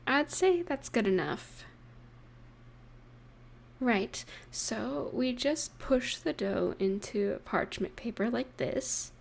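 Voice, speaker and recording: A young woman playing an affectionate, playful girlfriend in an intimate audio roleplay, speaking softly and tenderly with gentle pauses. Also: fairly high pitch, lively intonation, vocal fry, full character voice. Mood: playful, relaxed. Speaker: voice actor in romantic audio roleplay. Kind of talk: intimate scripted roleplay addressed to the listener.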